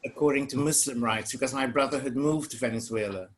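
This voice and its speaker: A man's voice: mid-range voice, fast, a little hiss or hum. An older man on a family video call, telling a rambling family story.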